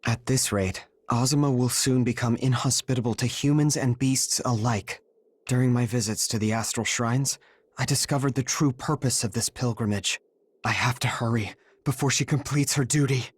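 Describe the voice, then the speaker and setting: A man voicing an earnest, husky young traveler. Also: mid-range voice, steady tone, moderate pace, no echo, natural voice. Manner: earnest, solemn. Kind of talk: video game voice acting.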